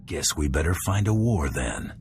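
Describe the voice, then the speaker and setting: A cocky bounty hunter from a game cutscene, with an extremely low voice. He speaks tersely, with dry confidence and a sardonic edge, over faint background noise. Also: very animated, normal speed, full character voice. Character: gruff, cocky bounty hunter, adult man, dryly confident. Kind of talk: video game cutscene acting.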